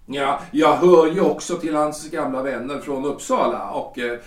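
Man acting a halting, high-pitched politician husband, echoey room. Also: fairly even intonation, distant mic, lightly acted. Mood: halting agreement. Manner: halting, hemming, formal. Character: hesitant, self-important 43-year-old lawyer-politician husband. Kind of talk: period stage-play audio drama acting. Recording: roomy, echoing, off the microphone.

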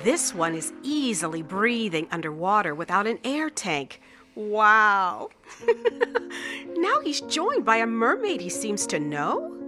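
A woman's voice: medium pitch, big pitch swings, takes it slowly, pushed and bright, soft background music, heavily acted. A woman playing an amazed onlooker who dramatically describes sleepers' dreams in a radio drama.

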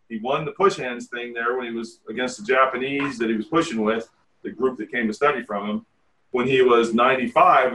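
A man in his fifties leads a group meeting as a tai chi teacher. He talks in a loose, rambling, blunt way. His voice is middle-pitched and sounds a little distant from the mic. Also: sharp tone. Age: 50s. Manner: rambling, frank, self-deprecating asides. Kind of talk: casual group meeting talk.

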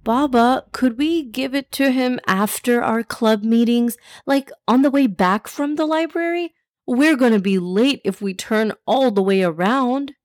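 A woman's voice: a little high, unhurried, no echo, pitched above the performer's own voice, natural voice. A woman puts on an eager young boy from a children's storybook. The voice is lifted above an everyday woman's talk and sounds dry and close, pleading and reasoning earnestly.

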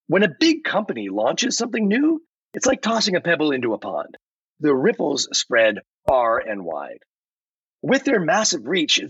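A man voicing a helpful, upbeat AI chatbot guest, explaining points in a measured, scripted way. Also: a bit bright, breathy edge, exaggerated voice. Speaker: podcast guest. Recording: roomy, muffled low-fidelity recording.

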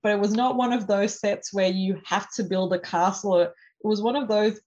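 A woman in her forties from Denmark, a native Danish speaker, calmly walking through her career in numbered steps as a podcast guest. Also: mid-range voice, moderate pace. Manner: methodical, lays points out in numbered steps. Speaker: podcast guest, cybersecurity professional. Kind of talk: conversational interview. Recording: slightly dull lo-fi audio.

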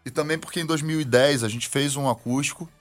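A man's voice: fairly high pitch, fairly quick. A man, a band member guest, explaining steadily in an interview. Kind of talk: interview answer.

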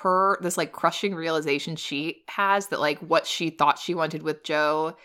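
A woman's voice: low-pitched, fairly even intonation, a little slow, sharp tone. A woman in her thirties co-hosting a podcast, chatting eagerly about a film and laughing often. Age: 30s. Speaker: podcast co-host. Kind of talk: casual film discussion.

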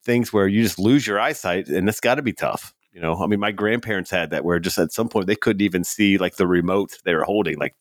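A man's voice: low-pitched, fairly quick, few pauses, no room sound, studio quality. A man co-hosting a casual podcast chat, talking in an easygoing way with frequent "you know". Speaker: podcast co-host.

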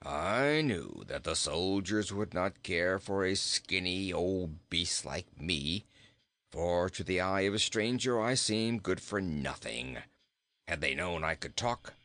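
Man doing a low, slow, haughty old goat. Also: heavily acted. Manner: calm, slow, dry and superior. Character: skinny, wise, haughty old male talking goat.

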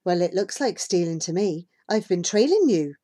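A woman's voice: on the low side, animated, normal speed, dark tone. Woman as a wary, challenging country boy. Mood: suspicious. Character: wary country boy goatherd, a little older than the hero.

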